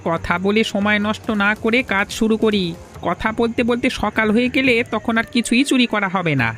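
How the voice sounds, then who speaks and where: A man's voice: high voice, normal speed, a bit bright, soft background music. A man voicing an earnest, insistent younger village man in a cartoon fairy tale, with emphatic delivery. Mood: impatient, sneaky.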